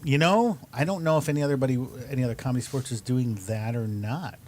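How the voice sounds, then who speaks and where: A man's voice: medium pitch, fast talker, a little mellow. A man speaking casually as an improv comedian being interviewed as a guest on a talk show.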